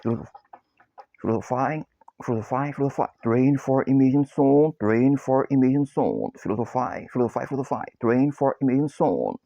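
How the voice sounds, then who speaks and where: Brazilian guy chanting the same lines again and again, broken english, dark mellow voice, dry dull recording. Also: mid-range voice, lively intonation, brisk, vocal fry, dry recording. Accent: Brazilian Portuguese. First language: Portuguese. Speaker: copywriter. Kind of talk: repetitive chanted self-introduction.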